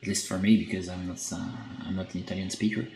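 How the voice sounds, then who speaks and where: A man with a French accent chatting on a live stream while he paints, reading chat and pausing often with fillers. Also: low-pitched, flat delivery, gravelly, a little mellow. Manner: hesitant, many filler pauses, reads chat aloud. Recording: echoey room with faint background noise.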